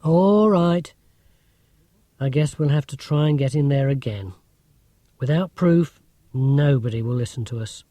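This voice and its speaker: A man's voice: mid-range voice, unhurried, pauses often, warm tone, dry recording, lightly acted. A man voicing a clever, bossy, blunt schoolgirl in an audiobook for children. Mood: decisive.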